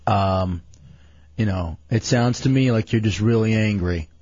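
Sick guy on a radio show, husky worn voice, jokey and sarcastic, dull lo-fi sound. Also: on the low side, a little slow, darkish tone. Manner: joking, sarcastic, rambling. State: sick, losing his voice. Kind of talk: casual on-air banter.

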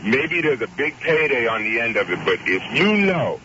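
A deep-voiced man calling in to a radio talk show, earnest and wordy, often cutting in to make his point. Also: big pitch swings, moderate pace, pushed and bright. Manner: earnest, wordy, interrupts with repeated "wait a minute". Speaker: caller. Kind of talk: radio call-in chat. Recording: dull radio audio with clear hiss.

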